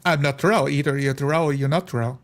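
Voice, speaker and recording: A man's voice: medium pitch, fairly quick. A man in a casual group chat about a role-playing game, explaining his points through examples. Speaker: tabletop role-playing player.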